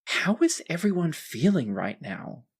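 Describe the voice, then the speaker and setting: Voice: medium pitch, very animated, a little slow, husky. A game master is telling the story of a tabletop roleplaying game. The narration is conversational, in a middle register.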